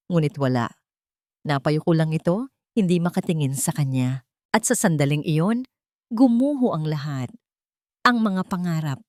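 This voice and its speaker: A woman's voice: lowish voice, a little mellow, no room sound, studio quality. A woman telling a story as dramatic scripted narration.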